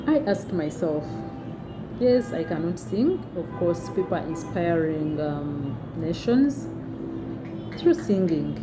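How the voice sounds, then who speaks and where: A young adult woman with a big, deep voice tells a personal story to her phone camera in a chatty way with many fillers. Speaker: vlogger. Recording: noticeable background noise.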